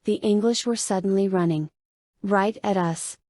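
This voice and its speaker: A woman narrating a first-person adventure novel in conversational, deliberate short phrases. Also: medium pitch, darkish tone, dry recording. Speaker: audiobook narrator. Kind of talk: first-person dramatic audiobook narration of a young adult novel.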